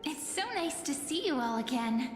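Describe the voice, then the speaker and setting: A woman voicing a gentle, teasing young childhood friend in a video game, speaking playfully and earnestly. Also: high voice, animated, moderate pace. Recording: long reverb with music behind.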